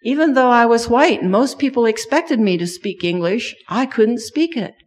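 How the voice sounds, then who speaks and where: A woman's voice: on the high side, animated, moderate pace. A middle-aged woman who grew up in Thailand and speaks Thai natively, telling a children's sermon story and asking the kids many questions.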